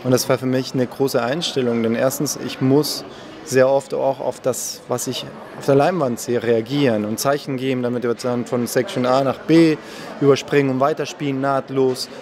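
A man in his forties, originally from Argentina, a conductor answering interview questions in a calm, measured way. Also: mid-range voice, crowd noise. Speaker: conductor, festival guest. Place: indoor room at a festival. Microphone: handheld mic held by interviewer.

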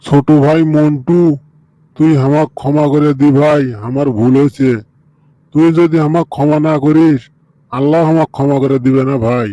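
A man's voice: fairly high pitch, steady tone, normal speed, dark tone, no room sound, muffled recording, lightly acted. A man voicing a ruined, humbled rickshaw puller in an amateur cartoon, pleading abjectly. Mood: remorseful.

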